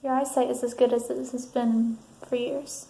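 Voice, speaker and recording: Woman, soft-spoken ASMR, vocal fry, reading statements slowly with pauses, roomy recording. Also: fairly high pitch, little pitch movement. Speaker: ASMR performer roleplaying a counselor. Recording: roomy sound, faint background noise.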